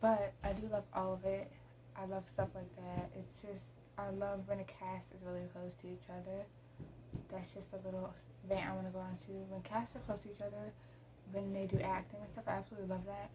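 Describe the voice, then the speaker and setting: A woman's voice: medium pitch, flat delivery, fairly quick, noisy background. A teenage girl vlogging at home, chatting casually to her camera, rambling with lots of ums. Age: teens. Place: room at home.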